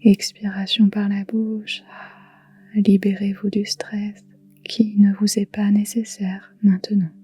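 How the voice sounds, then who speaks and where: A woman guiding a relaxation meditation in a soft, whispery, calm and soothing voice, leaving long pauses. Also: mid-range voice. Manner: soft, breathy, whispery delivery. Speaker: yoga nidra guide.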